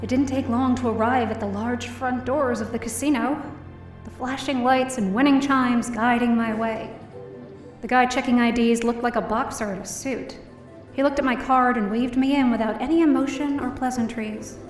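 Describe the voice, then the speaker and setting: A woman's voice: fairly high pitch, steady tone, normal speed, far from the mic, soft background music, natural voice. Actress as distressed young woman, roomy sound. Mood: weary, reflective. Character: distressed, down-on-her-luck young woman telling her story. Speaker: lead actor in audio drama. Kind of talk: first-person dramatic narration.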